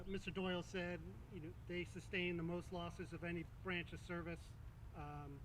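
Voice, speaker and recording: High-pitched, halting man giving a speech. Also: background noise. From East Hampton, New York. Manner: halting, frequent pauses and throat clearing. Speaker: Coast Guard captain, guest speaker. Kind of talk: semi-formal ceremony speech.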